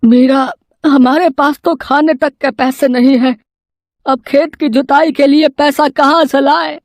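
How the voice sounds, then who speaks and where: A woman voicing a poor, humble, anxious village farmer in an animated moral story, with a hesitant, pleading manner. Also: sits high, a little slow, dry recording, studio quality, voice pushed up. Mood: worried.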